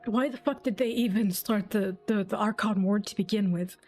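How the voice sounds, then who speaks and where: Female streamer, mid-pitched, sweary, thinking aloud, emotionally invested, casual commentary, faint music behind. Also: normal speed, darkish tone. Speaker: game streamer. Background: faint game music.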